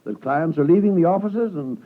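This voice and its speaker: A man's voice: a little high, lively intonation, moderate pace, lightly acted. An actor playing a worried, deferential male law clerk in 1950s radio drama, hesitant, earnest and obsequious. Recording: thin, phone-like 1950s radio broadcast.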